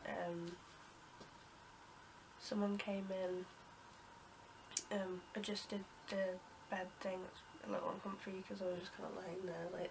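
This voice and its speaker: A young woman with a cold talks to camera, her voice bunged up and her delivery wandering through ums and half-finished sentences. She keeps her pitch level and flat. A steady hiss of noise sits under the recording. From United Kingdom. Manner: rambling, many ums and restarts, coughs and clears throat. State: congested with a cold. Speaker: vlogger. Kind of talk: casual storytelling to camera.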